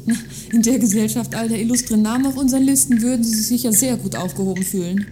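An actress playing an earnest, scornful political activist in a radio play, with dry, sarcastic delivery. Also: female voice, on the high side, fairly even intonation, fast, warm tone, slight background noise, natural voice. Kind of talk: scripted radio play dialogue. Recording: music behind the dialogue.

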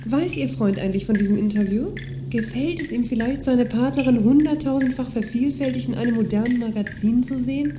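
An actress playing a condescending, talkative magazine journalist in a scripted radio play, speaking in long, pompous sentences. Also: female voice, on the high side, a little fast, background noise, lightly acted. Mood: snide. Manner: pompous, wordy, haughty. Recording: music behind the dialogue.

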